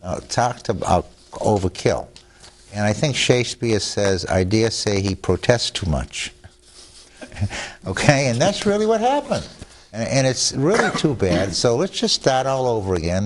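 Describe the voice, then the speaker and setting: An older man, a lawyer whose first language is Italian, talking in a studio interview with restarts and chuckles. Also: medium pitch. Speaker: lawyer guest. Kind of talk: studio talk show interview.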